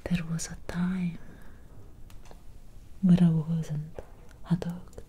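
A young woman voicing a soothing, sly female Victorian vampire doctor in a hushed, intimate ASMR roleplay, close to the microphone. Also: fairly low pitch, flat delivery, slow pace, a little hiss or hum. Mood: tender, confiding. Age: young adult. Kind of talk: soft-spoken ASMR roleplay.

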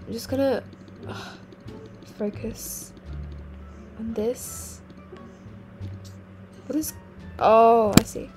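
A teenage girl with a slightly croaky, sick-sounding voice, chatting casually over her gameplay and exclaiming at things she finds. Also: fairly high pitch. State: croaky voice from a cold. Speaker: gaming video host. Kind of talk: casual game commentary. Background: faint game music.

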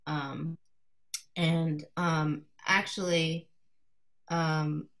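A woman in her thirties from Colorado, giving an informal artist talk to a class over a video call, soft-spoken and hesitant, with many fillers. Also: sits low, level tone, drawn-out words. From Colorado, USA. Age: 30s. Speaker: guest artist speaking to a class. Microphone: video call computer mic.

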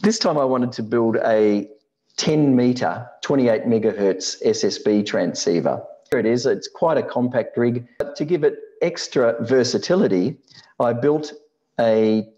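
An Australian man in his sixties narrating a slide presentation in a measured, explanatory way. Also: mid-range voice, dark tone, dull audio. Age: 60s. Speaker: amateur radio presenter.

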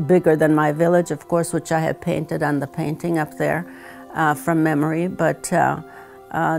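A woman, a retired teacher and weaver, speaking thoughtfully with many pauses and fillers in a seated interview at home. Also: low-pitched, gravelly, darkish tone. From Croatia. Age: 70s. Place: home living room, indoors.

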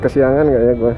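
A young man chatting casually to his viewers while riding a motorcycle through city streets, in a relaxed, slangy way. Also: mid-range voice. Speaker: motovlogger. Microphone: helmet mic. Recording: tinny lo-fi helmet mic with loud traffic noise. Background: engine and traffic noise.

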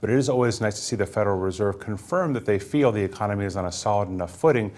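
A middle-aged man gives market commentary on a TV panel. His voice is low, and he speaks quickly and fluently. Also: a little mellow. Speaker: market strategist guest. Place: TV news studio. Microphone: lapel mic.

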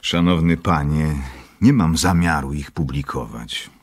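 An actor playing a scholarly, secretive doctor obsessed with power in a radio drama, speaking in a measured, clinical way. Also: male voice, rumbling low. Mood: guarded, dismissive. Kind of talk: Polish radio drama acting.

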